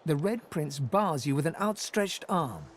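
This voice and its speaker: A man's voice: a little high, expressive, a little slow, faint music behind. A man delivering scripted, dramatic storybook narration for a fantasy video game. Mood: measured storytelling.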